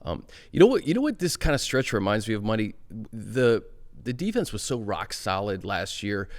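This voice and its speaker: A man's voice: lowish voice, sing-song. Chatty man hosting a sports show. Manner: chatty, quick back-and-forth, hedging fillers. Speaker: host. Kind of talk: casual sports talk.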